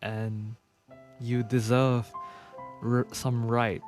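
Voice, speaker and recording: A man giving casual, sympathetic playthrough commentary, often halting and repeating himself. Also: mid-range voice, flat delivery, darkish tone. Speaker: streamer. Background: game music.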